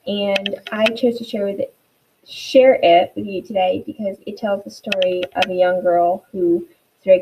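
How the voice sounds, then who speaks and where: A woman's voice: mid-range voice, slightly rough, highs cut off. A woman teacher giving an instructional read-aloud for students, encouraging them with guiding questions.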